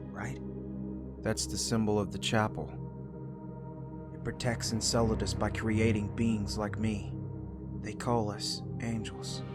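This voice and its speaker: A man's voice: lowish voice, natural voice. Earnest male sci-fi angel warrior played by an actor. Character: newly awakened male genetically engineered angel warrior, earnest and questioning. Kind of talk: scripted first-person visual novel narration and dialogue.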